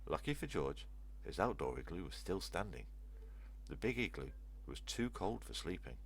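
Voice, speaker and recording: Deep-voiced older man reading a children's story. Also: sing-song, normal speed, gravelly. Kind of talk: children's story read-aloud narration.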